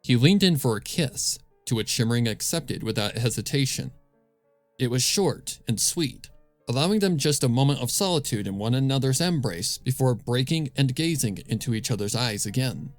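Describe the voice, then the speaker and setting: A man reads a scripted story as narrator. His voice is of medium pitch, recorded close and dry, with music underneath. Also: animated, normal speed, no echo. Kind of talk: scripted fan fiction narration.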